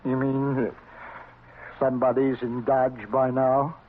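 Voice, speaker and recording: Slow, embittered old frontier man, acted. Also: medium pitch, little pitch movement, lightly acted. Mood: bitter, despairing. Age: older. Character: embittered, grieving father, an old buffalo hunter. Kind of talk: old-time radio western drama acting. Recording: muffled, faintly noisy vintage radio recording.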